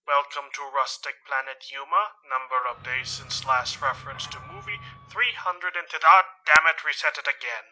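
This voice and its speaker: A man playing a bored, folksy innkeeper on a frontier planet in a cartoon parody, drawling and deadpan. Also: on the high side, normal speed, hardly any pauses, faint music behind, low-quality recording.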